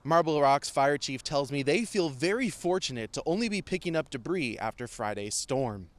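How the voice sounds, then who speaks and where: A man's voice: on the high side, lively intonation, moderate pace. An American man narrating a scripted TV news report as a weather reporter. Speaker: TV meteorologist and field reporter.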